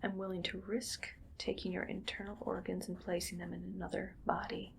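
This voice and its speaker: A woman playing a cold, calculating mad scientist in an ASMR roleplay, whispery and creaky, speaking in a clinical, deliberate, quietly menacing way. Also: lowish voice, flat delivery, slight background noise. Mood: coolly pleased.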